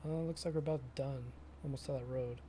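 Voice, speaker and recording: A young man chatting casually with viewers during a live stream of a farming game, often pausing and restarting his sentences. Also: medium pitch, steady tone, warm tone, a little hiss or hum. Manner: halting, self-correcting, chatty with viewers.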